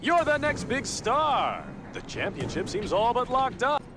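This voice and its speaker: An actor playing a brash race broadcaster in a video game, with a showy, punchy announcer delivery. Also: male voice, very high-pitched, wildly swooping pitch, a little slow, background noise, full character voice. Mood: excited, goading. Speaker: race commentator and interviewer. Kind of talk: video game cutscene acting, announcer patter.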